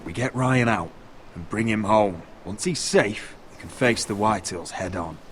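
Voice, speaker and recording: An actor playing a defiant, resolute young lord in a video game drama, speaking firmly and with command. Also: male voice, on the low side, expressive, normal speed, natural voice. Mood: grim, determined. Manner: firm, commanding. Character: defiant, resolute young male lord defending his house. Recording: faint background noise.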